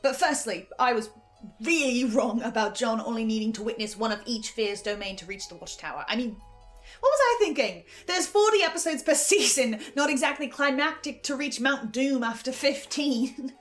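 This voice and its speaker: A woman hosting a scripted video essay, giving reflective commentary with dramatic pauses. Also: on the high side, expressive, fairly bright tone. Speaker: reviewer and host. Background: music.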